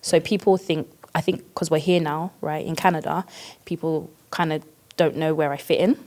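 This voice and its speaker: Here is a woman in her thirties with a London accent, speaking casually as a podcast guest. She talks in a chatty, expressive way and laughs easily. Her voice sits fairly low for a woman. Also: normal speed. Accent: London English. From East London, England. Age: 30s. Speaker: guest, worship leader.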